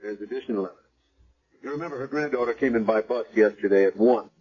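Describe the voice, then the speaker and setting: A man's voice: medium pitch, fast talker, slightly rough, close to an everyday voice. An actor playing a matter-of-fact man police detective laying out evidence in a 1970s radio drama. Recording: dull lo-fi vintage radio recording.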